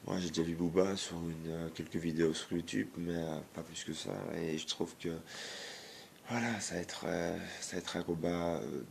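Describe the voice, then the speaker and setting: A man, hoarse from a cold, talks in a deep, gravelly voice. He hesitates often, restarting phrases, yet his judgments come out blunt. A faint hiss of noise sits behind him. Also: fairly even intonation, moderate pace, darkish tone. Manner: hesitant, many fillers and restarts; blunt, disapproving. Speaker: YouTuber. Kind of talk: casual vlog rant.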